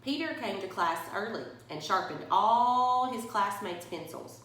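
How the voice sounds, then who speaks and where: A woman's voice: mid-range voice, animated, measured pace, a bit bright, very echoey, rough recording. A woman reading a children's bedtime story aloud, expressively and directly to young listeners. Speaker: story reader for a church.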